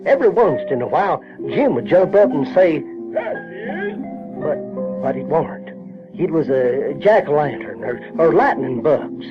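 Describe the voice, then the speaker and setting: An actor playing an uneducated, conscience-troubled Southern boy who narrates an audio drama in a halting, rustic way. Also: on the high side, very animated. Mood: plain, storytelling. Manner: halting, repeats words, rustic grammar. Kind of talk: folksy first-person audio drama narration. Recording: dull vintage radio-drama recording, music behind.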